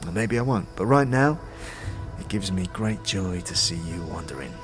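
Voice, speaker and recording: An actor playing a talking skull, a dead anarchist who is bitter, conspiratorial and speaks in streetwise slang, in a fantasy audio drama. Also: male voice, low voice, lively intonation, moderate pace, soft background music. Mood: taunting. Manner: streetwise slang, scornful, guarded.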